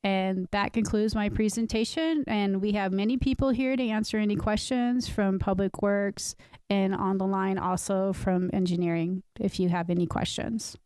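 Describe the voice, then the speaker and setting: A woman's voice: mid-range voice, steady tone, moderate pace, a little mellow, clear, resonant tone, dry recording. A woman from city staff presenting a permit request to a planning commission in a plain, matter-of-fact way. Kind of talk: staff presentation at a public meeting.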